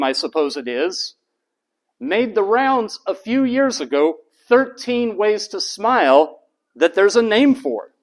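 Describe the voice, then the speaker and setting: Animated, high-pitched male lecturer. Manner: animated, asks rhetorical questions and answers them. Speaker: university lecturer. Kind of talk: classroom lecture. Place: classroom.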